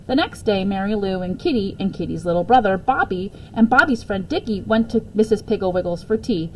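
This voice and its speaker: Woman, mid-range voice, expressive, children's read-aloud, slight noise in the recording. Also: mid-range voice, rough recording. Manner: expressive storytelling. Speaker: story reader. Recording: faint background noise.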